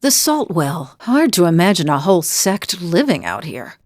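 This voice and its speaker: Weary, low-voiced middle-aged adventurer woman. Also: huge pitch swings, normal speed, darkish tone, no room sound, clean studio sound. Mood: wry, uneasy. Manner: wry, confiding, plain-spoken. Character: weary, wry middle-aged woman adventurer, former pirate, guilt-burdened. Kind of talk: video game character dialogue.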